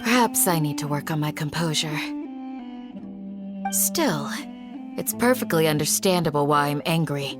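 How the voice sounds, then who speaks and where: A woman's voice: low voice, lively intonation, moderate pace, long pauses, over music. A woman playing a stern, righteous village guardian in a video game, formal and composed with controlled anger.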